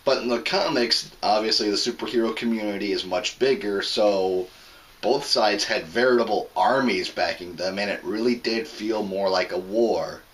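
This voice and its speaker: A man hosting his own review show, hoarse from a sore throat, explaining a plot in a plain, casual way with some restarts. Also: on the low side, moderate pace, poor audio. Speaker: host of a movie review show. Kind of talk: casual spoken movie review.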